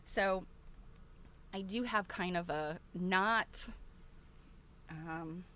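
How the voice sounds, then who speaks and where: A woman's voice: fairly low pitch, extremely slow, pushed and bright, slight background noise. A woman chatting casually to regular viewers as a livestream host, rambling along in a conversational way. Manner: chatty, rambling, often breaks into laughter.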